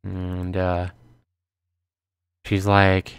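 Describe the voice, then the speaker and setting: A man's voice: low-pitched, monotone, very slow, clear, resonant tone, no echo. A man giving casual live gameplay commentary, thinking aloud and repeating himself as he talks to his viewers. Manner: repetitive, thinking aloud, addressing chat viewers. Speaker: livestream host playing a visual novel.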